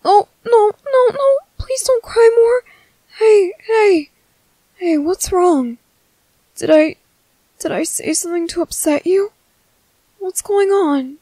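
Woman voicing a gentle, high-pitched young merboy. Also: slow, long pauses, no echo, low-quality recording. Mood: worried, pleading. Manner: soft, hesitant, soothing. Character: gentle, shy, worried young merboy comforting someone. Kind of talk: ASMR roleplay acting.

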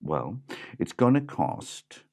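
Man as mysterious wandering piper, courteous and unhurried, storybook tone. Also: deep voice, very animated, darkish tone, heavily acted. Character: courtly mysterious male piper, calm and polite.

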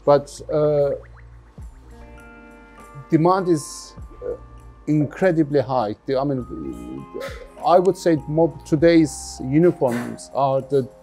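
A middle-aged man from London giving thoughtful interview answers as a business founder, haltingly and often repeating words. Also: fairly high pitch, big pitch swings, dark tone, soft background music. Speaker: jeans factory founder being interviewed.

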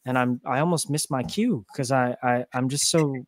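A man's voice: mid-range voice, moderate pace, no echo. A young man chatting casually as an interview guest, earnest and appreciative, speaking into a close studio microphone. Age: young adult. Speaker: guest musician, singer. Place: home room, indoors, on a video call. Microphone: studio microphone on an arm, close.

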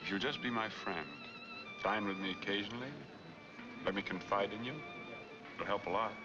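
A man's voice: on the low side, fairly even intonation, normal speed, lightly acted. An actor in his fifties playing a brooding, self-pitying married man in a 1940s film noir. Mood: smooth, courting. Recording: dull 1940s film soundtrack, music behind.

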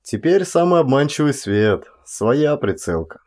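A male audiobook narrator with a mid-range voice performs a first-person tale. His delivery is expressive and theatrical, varied from phrase to phrase, with deliberate pauses. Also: mid-range voice.

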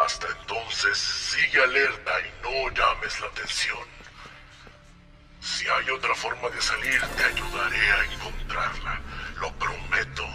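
A man voicing a protective, encouraging animatronic bear in a fan-dubbed game animation, giving measured, reassuring guidance. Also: fairly low pitch, recorded from a distance. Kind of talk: fan dub acting for animated game series.

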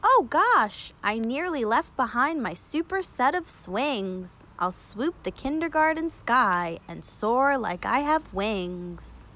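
A woman reading a picture book aloud to children like a teacher, expressive and deliberate, in a child-directed storytelling manner. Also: fairly high pitch.

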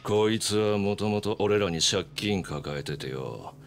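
Menacing middle-aged gangster, very low voice, slow rough drawl, coldly mocking, video game drama. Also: male voice, animated, faint music behind. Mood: mock pity, menacing. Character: menacing, weary long-haired yakuza man, coldly mocking. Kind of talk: Japanese video game voice acting.

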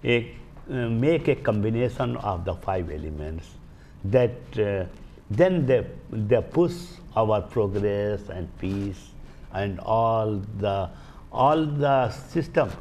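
An older man with an Indian accent explains things as a studio guest. He speaks slowly in a gravelly voice, searching for words and repeating himself. A faint noise sits behind him. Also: fairly low pitch, expressive, darkish tone. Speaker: guest, Vastu healer. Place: small indoor TV studio. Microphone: lapel mic.

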